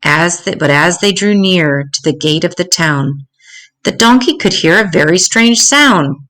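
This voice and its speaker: An older woman reading a rhyming children's story aloud in an expressive, dramatic, deliberate way. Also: on the low side.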